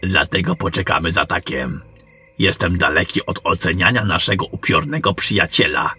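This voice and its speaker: Man playing a deep, forceful seasoned general. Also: normal speed, breathy edge, faint music behind, heavily acted. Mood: cautious, measured. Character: seasoned male general, wry, cautious monster hunter. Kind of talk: dramatised fantasy audiobook acting.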